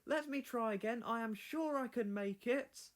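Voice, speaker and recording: A man's voice: extremely high pitch, pitched above the performer's own voice. A man voicing a young, eager steam engine from a children's storybook, earnest and exclamatory. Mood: determined. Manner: exclamatory, earnest, drawn-out delivery.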